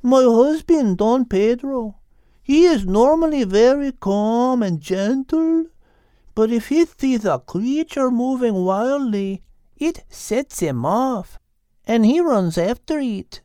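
A man's voice: very high-pitched, animated, slow, warm tone, clean tone, no echo, pitched above the performer's own voice, heavily acted. A man voicing a patient female cow with a Spanish accent, explaining things like a long-suffering wife in a storybook. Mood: patient, confiding.

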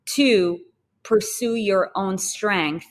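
A woman from Israel, a podcast guest talking in animated, emphatic bursts full of fillers. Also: extremely slow. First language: Hebrew. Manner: animated and emphatic, with sudden jumps in pitch and filler-heavy bursts. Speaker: podcast guest, fitness business co-founder. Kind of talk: casual interview chat.

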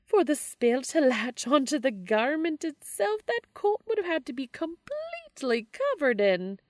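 A woman putting on a grousing, high-pitched Scottish witch. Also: sing-song, a little slow, dry recording, studio quality. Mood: exasperated, smug. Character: smirking Scottish witch, grousing, bemused, haughty spellcaster.

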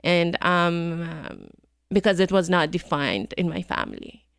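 A middle-aged woman from Iran talks through her memories with frequent ums and easy laughter. Her voice sits on the low side with a gravelly edge, yet stays smooth and clear. The recording is close and dry. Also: big pitch swings, unhurried, no room sound. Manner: hesitant, many ums, laughs easily. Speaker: guest. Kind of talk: conversational interview.

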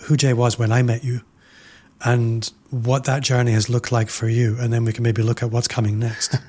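A man hosting a podcast interview, talking in a reflective, measured way and building his points through repetition. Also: mid-range voice, little pitch movement, darkish tone. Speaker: podcast host.

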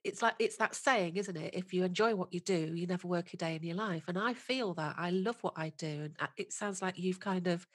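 A woman's voice: on the low side, fairly quick, few pauses, breathy edge, dry recording, clean studio sound. A woman hosting a podcast, talking in an effusive, enthusiastic and chatty way. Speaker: podcast host. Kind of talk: conversational podcast interview.

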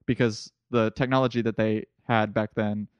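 A man's voice: lowish voice, little pitch movement, moderate pace, clear, resonant tone, no room sound, lo-fi sound. A young man in his twenties chats on a podcast as a comedian guest. He tells eager, rambling stories full of restarts. The sound is dry and close. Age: 20s. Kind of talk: casual podcast chat.

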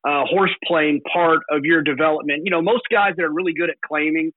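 Male radio host, halting questioner, slightly high voice, phone-line quality. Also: steady tone, dry recording. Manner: halting, with repeated words and fillers. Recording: tinny phone line, dry.

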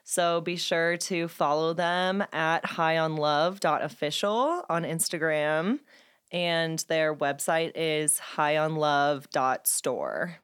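Smooth low-voiced bubbly woman host. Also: a bit bright. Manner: bubbly, effusive, quick to laugh. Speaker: podcast host. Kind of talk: casual podcast chat.

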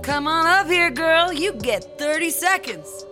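A woman's voice: very high-pitched, expressive, a little slow, over music. A woman playing a decisive, showy rap battle host, making announcements in a comedy audio drama. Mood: commanding. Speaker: contest emcee.

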